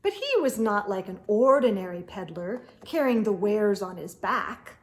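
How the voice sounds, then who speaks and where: A woman reading a picture book aloud to children as host, expressive and putting questions to young listeners. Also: mid-range voice, unhurried.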